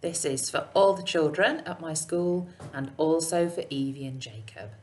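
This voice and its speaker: A woman's voice: low-pitched, moderate pace, darkish tone. A woman in her thirties reading a children's storybook aloud expressively. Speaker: teacher reading a bedtime story. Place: small room indoors. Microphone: webcam at arm's length.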